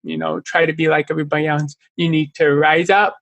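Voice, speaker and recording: A young man with the accent of a deaf speaker, an artist talking earnestly and openly as an interview guest, sometimes halting over a word. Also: on the high side, level tone, gravelly, fairly bright tone, dry recording, muffled recording. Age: young adult. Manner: earnest, open, at times halting speech. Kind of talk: casual interview conversation.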